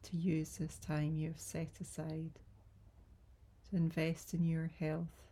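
A woman guiding a yoga nidra relaxation in a soft, breathy, soothing voice, gentle and unhurried with long pauses. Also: sits low, completely flat delivery. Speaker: yoga nidra meditation guide. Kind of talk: guided relaxation meditation.

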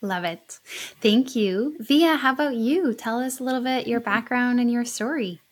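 A woman's voice: a little high, moderate pace. A woman hosting a casual interview podcast, affirming and encouraging her guests. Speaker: podcast host.

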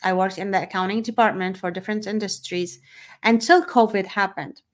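A woman's voice: medium pitch, brisk. Middle-aged woman with a Syrian Arabic accent. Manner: informal, off-the-cuff. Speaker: podcast host. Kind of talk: unscripted personal monologue.

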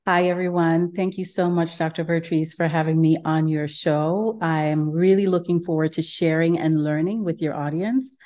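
A woman's voice: sits low, normal speed, hardly any pauses. A woman from Ghana, probably in her forties, answering interview questions earnestly as a guest, often checking in with "right?". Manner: earnest, steady, frequent "right?" and "um". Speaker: gastroenterologist and practice owner, guest. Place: home.

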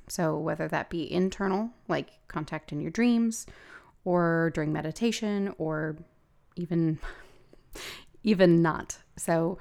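A woman with a gravelly voice hosting a podcast, talking casually and haltingly as she thinks aloud. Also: lowish voice, a little mellow. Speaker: podcast host and hypnosis practitioner. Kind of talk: casual solo podcast talk.

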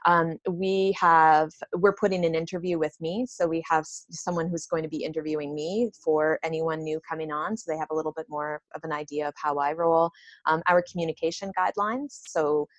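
A woman's voice: deep voice, steady tone, fairly quick, no room sound, dull audio. A woman business owner being interviewed, explaining things conversationally and informally, thinking aloud as she goes.

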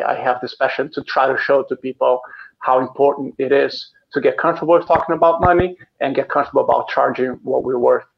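A man in his thirties, originally from Brazil, talking as a guest in a casual interview, earnest and often repeating words as he starts sentences. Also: mid-range voice. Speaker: canine physical therapist, guest. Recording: dull lo-fi audio from a live stream.

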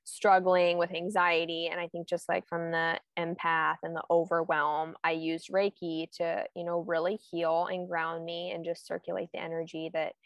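A woman in her twenties talks as a guest in a casual conversation, her voice pitched a little low for her age and sex. The sound is dry and close, with no room echo at all. She speaks reflectively, filling her sentences with "like". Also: little pitch movement, normal speed, hardly any pauses. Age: 20s. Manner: reflective, heavy use of "like". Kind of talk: casual podcast chat.

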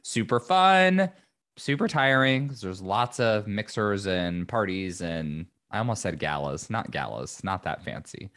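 A man's voice: fairly low pitch, lively intonation, a little slow, fairly bright tone. A man hosting his own podcast in a chatty, upbeat manner with many ums, his voice a little scratchy after losing it. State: recovering from lost voice, slightly scratchy. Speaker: podcast host. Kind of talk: casual solo podcast talk.

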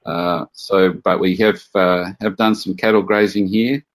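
An Australian man, a guest in an interview, answering in a measured way with many pauses over a video call. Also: low voice, fairly even intonation. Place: farm home.

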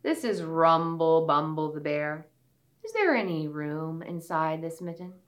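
A woman voicing a big, sleepy bear in a children's story, slow and plain. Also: low-pitched, expressive. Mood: calm.

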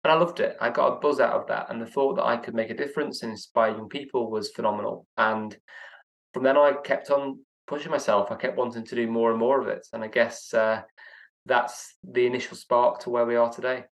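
A man's voice: mid-range voice, steady tone, a little fast, gravelly, low-quality recording. A young man being interviewed as a guest speaker, answering in a reflective, earnest way with some stammering restarts.

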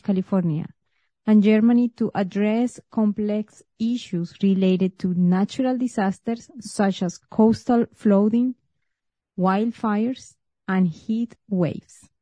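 Mellow-voiced woman host, dull recording. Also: mid-range voice, no room sound. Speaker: podcast host. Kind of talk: scripted introduction and interview hosting.

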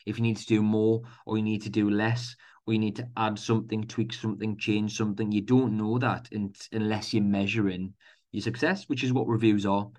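A man's voice: lowish voice, level tone, fairly quick, a little mellow. A man with a Geordie accent hosting a solo motivational podcast, talking in a chatty, informal way with fillers and restarts. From North East England. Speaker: podcast host.

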